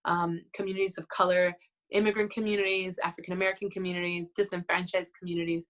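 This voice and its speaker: A woman from Chicago, a theater artist and mother, talks thoughtfully and pauses on fillers. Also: on the low side, little pitch movement. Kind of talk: personal introduction.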